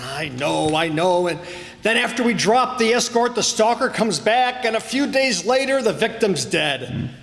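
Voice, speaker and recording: Police inspector, male, played by an actor: high-pitched, blustery, sarcastic radio-drama cop, echoey stage hall. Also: lively intonation, unhurried, gravelly, fairly bright tone, faint music behind, exaggerated voice. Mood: exasperated. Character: gruff, exasperated police inspector. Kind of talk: old-time radio crime drama acting.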